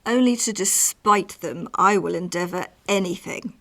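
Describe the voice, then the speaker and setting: A woman acting a cold, spiteful, scheming noblewoman in a Shakespearean radio play, terse and measured. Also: on the low side, vocal fry, fairly bright tone. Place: home recording.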